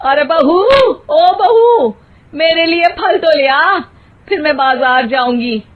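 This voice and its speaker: A woman's voice: high-pitched, expressive, slow, background noise. Woman playing exasperated scolding mother-in-law, tinny sound. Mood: nagging. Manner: nagging, dramatic complaining. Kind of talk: Hindi moral-story cartoon dialogue. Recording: muffled, narrow phone-like sound.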